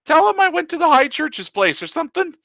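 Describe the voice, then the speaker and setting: Teasing ageless trickster, played by a man in a raised, animated voice, bright and gravelly. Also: extremely high pitch, brisk, no room sound, voice pushed up, full character voice. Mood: scheming. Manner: breezy and teasing. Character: ancient, cocky immortal man, teasing.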